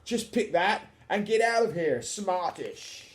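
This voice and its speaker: A man voicing a rough, cowardly burglar from a children's storybook, blurting exclamations in slang. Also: high voice, animated, slow, voice pushed up. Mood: greedy. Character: big, cowardly, rough male burglar, easily rattled.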